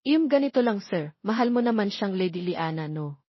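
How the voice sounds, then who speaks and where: A woman's voice: mid-range voice, lively intonation, normal speed, no echo, lo-fi sound, close to an everyday voice. A woman voicing a talkative, sly male bodyguard joking in an audio drama. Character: cheeky, talkative male bodyguard giving reckless love advice.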